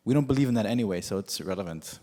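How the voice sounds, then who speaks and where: A man whose first language is Yiddish, preaching as a rabbi in a measured storytelling way with frequent pauses. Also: on the low side, expressive, warm tone. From United States. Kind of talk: sermon. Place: synagogue sanctuary with long reverb. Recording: reverberant hall.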